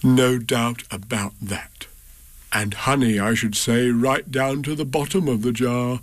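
A man voicing a slow, dreamy, honey-loving male bear who muses aloud in a drawn-out way during a storybook reading. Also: medium pitch, steady tone, no room sound, natural voice. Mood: musing.

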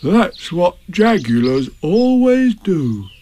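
A placid, slow-witted storybook bear, acted out by a man. He draws his words out very slowly and explains everything matter-of-factly. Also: on the high side, big pitch swings, darkish tone, slight background noise, voice pushed up. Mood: calm explaining. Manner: slow, drawn-out, matter-of-fact.

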